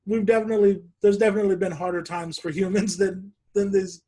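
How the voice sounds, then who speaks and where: High-voiced man hosting a casual chat. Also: fast talker. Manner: laughs often, animated storytelling. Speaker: podcast host. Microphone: video call.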